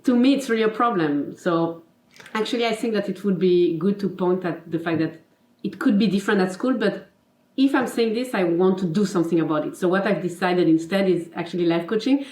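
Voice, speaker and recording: A woman's voice: lowish voice, fairly quick, a little mellow, reverberant, rough recording. A woman from Geneva with a French accent, a confidence and life coach chatting casually as an interview guest, laughing often and filling with "you know" and "like". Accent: French-speaking Swiss. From Geneva, Switzerland.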